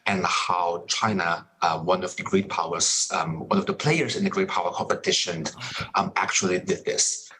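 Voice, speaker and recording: Young guy from indonesia, deep and a bit husky, hesitant academic talk on a video call. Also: moderate pace, hardly any pauses, pushed and bright, low-quality recording. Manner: hesitant, many fillers and restarts. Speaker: workshop presenter.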